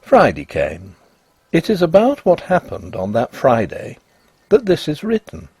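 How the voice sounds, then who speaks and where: A man narrating a Victorian ghost story as scripted reading, with an expressive, literary delivery. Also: fairly high pitch, a little slow, creaky, breathy edge, no room sound.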